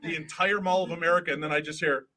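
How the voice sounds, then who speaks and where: A man in his fifties, a paranormal investigator guest with a booming voice, telling animated stories on a live podcast. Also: fast talker, a loud crowd. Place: live event stage.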